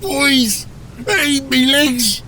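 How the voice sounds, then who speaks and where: A man's voice: expressive, very slow, bright, forceful tone, distant mic, slight background noise, heavily acted. A man voicing a whining, injured ork crewman who wails in coarse grammar. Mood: panicked wailing. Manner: high wailing, coarse grammar. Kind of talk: fantasy audiobook character acting.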